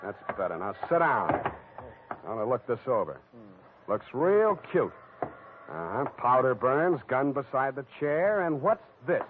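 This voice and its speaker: An actor playing a cynical, wisecracking private detective with a clipped, deadpan delivery in 1940s radio style. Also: male voice, mid-range voice, a little slow, noisy background. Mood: brisk, tough. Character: hard-boiled wisecracking male private detective, cool and cynical. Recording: muffled tinny old radio broadcast.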